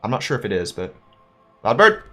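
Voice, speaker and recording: A man's voice: lowish voice, wildly swooping pitch, a little fast, sharp tone, faint music behind. A man hosting a gaming channel, chatting casually and thinking aloud as he works out his moves and counts up his dice. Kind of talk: let's play commentary.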